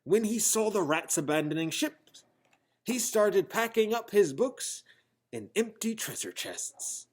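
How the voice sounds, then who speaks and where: A man reading a children's storybook aloud in a theatrical, expressive style. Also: sits high, measured pace. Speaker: storybook reader. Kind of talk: dramatic children's storybook narration.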